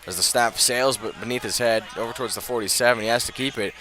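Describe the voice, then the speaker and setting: A man's voice: medium pitch, quick pace, sharp tone. An American man doing live radio play-by-play of a football game in short, clipped phrases. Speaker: play-by-play commentator.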